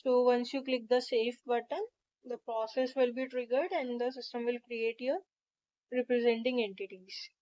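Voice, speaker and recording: High-voiced woman, dull lo-fi recording. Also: fairly even intonation, moderate pace, a little mellow. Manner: methodical, instructional. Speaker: software tutorial presenter. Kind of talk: step-by-step screen tutorial narration.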